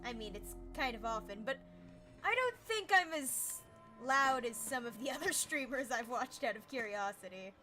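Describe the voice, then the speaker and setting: A woman streaming a game, chatting casually with an energetic, naturally loud manner. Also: a little high, moderate pace, faint music behind. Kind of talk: casual gaming stream chat. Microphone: desk mic, peaking.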